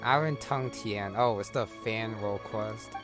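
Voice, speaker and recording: A man's voice: fairly low pitch, measured pace, over music. A man giving casual let's-play commentary, muttering wry asides to himself. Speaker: gaming channel host.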